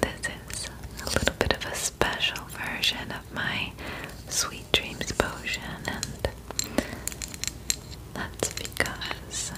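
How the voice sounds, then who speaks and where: Young female ASMR performer, soft breathy whisper close on the mic, very unhurried, soothing friendly-witch roleplay. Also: rough recording. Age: young adult. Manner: slow, gentle, soothing delivery as a friendly witch.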